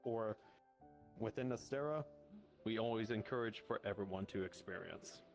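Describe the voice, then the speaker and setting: A low-pitched man improvises online fantasy roleplay as a courteous host. His phrasing is formal but halting, picked out piece by piece. Music plays clearly behind his voice. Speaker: roleplayer playing a guild host. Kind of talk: improvised in-character roleplay in online virtual reality.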